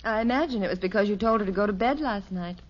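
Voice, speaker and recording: An actress playing a calm, knowing middle-aged American wife and mother in a 1950s radio sitcom. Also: mid-range voice, quick pace, natural voice. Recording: muffled old radio recording.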